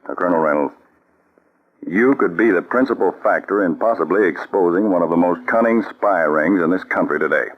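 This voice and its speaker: A firm, commanding male spy chief in a vintage radio drama. He speaks earnestly with authority, in a very deep voice. The sound is dull and muffled like an old broadcast. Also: fairly quick. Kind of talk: 1940s radio acting.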